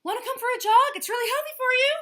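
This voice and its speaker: A woman voicing a pushy, health-crazed male hippo in a storybook, loud, breathless and overeager. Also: extremely high pitch, big pitch swings, normal speed, voice pushed up, heavily acted. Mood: eager.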